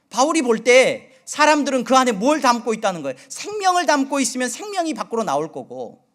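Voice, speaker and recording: A middle-aged male pastor from the Jeolla region preaching a sermon, with a slight Jeolla accent, asking his congregation many questions and moving between loud and soft delivery. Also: high-pitched. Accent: Seoul Korean with occasional Jeolla dialect. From Jeolla region, Korea.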